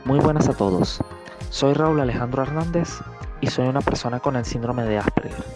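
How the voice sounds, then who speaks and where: A man's voice: mid-range voice, moderate pace, music in the background. A man in his twenties with a Venezuelan accent, a nurse giving a composed, confident testimonial message. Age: 20s. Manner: composed and confident, speaking with conviction.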